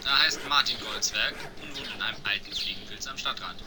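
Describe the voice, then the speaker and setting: Man playing deadpan boy detective, noisy recording. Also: lowish voice, a little fast. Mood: matter-of-fact. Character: Bob, matter-of-fact boy researcher detective. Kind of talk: parody fan audio drama. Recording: noisy amateur recording with clicks.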